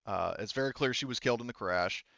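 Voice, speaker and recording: Smooth-voiced man running a game, slightly dull audio. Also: mid-range voice, fast, bright, forceful tone, no echo. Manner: informal, frequent swearing. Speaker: game master. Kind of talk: casual improvised tabletop game narration.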